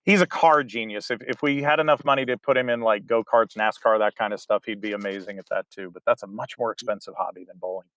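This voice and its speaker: A man's voice: on the low side, fast, few pauses, a bit bright, no echo, poor audio. A man in his forties, a theater director, answering interview questions in a chatty, rambling way with many fillers.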